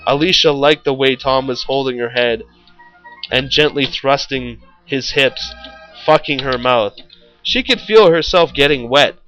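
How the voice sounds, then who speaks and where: Man, bright forceful voice, mid pitch, dramatic story reading, mock-serious, faint music behind. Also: dull audio. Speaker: story reader, show host.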